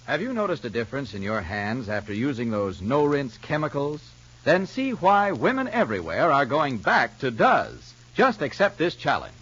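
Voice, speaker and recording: Polished persuasive pitchman, male, vintage ad style, animated delivery, dull archival sound. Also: moderate pace, husky. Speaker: commercial announcer. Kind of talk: 1950s advertising read. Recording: muffled vintage broadcast recording.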